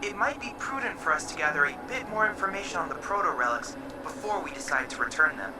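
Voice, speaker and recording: A woman voicing a composed, analytical scholar in a game, explaining in precise, formal, measured speech. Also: lowish voice, animated, recorded from a distance, music in the background, close to an everyday voice. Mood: thoughtful. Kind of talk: video game cutscene acting.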